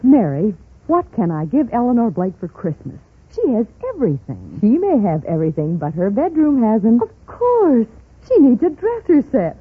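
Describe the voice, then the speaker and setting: A woman's voice: a little high, huge pitch swings, moderate pace, breathy edge, faint music behind, full character voice. An actress playing an eager woman gift shopper in an old-time radio commercial. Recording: tinny, phone-like old radio recording.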